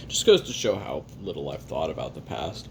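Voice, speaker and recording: A man playing a weary, jaded assassin who records a private confession in a halting, sardonic, casually profane way while eating. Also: extremely low pitch, big pitch swings, vocal fry, noisy background, heavily acted. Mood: matter-of-fact. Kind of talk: first-person confessional audio roleplay monologue.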